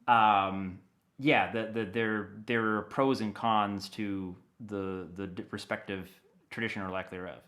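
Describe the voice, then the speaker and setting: An American man giving a casual interview, rambling in an animated way as he thinks aloud. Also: fairly low pitch, measured pace. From United States. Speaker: interview guest, audio dramatist.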